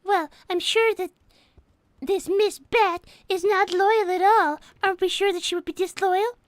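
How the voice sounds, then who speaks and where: Very high, prim cartoon bunny girl. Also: fairly even intonation, unhurried, no room sound, studio quality. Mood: scheming. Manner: polite, prissy, formal wording. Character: prim, indignant, scheming young girl rabbit, Cream. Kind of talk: improvised in-character cartoon roleplay livestream.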